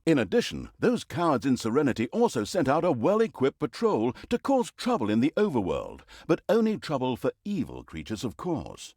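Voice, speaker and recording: Animated, wry fantasy game narrator. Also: male voice, medium pitch, dry recording, studio quality. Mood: droll, mocking. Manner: arch, droll, theatrical. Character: wry, theatrical male storyteller of an evil fantasy tale. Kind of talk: comic fantasy storybook narration.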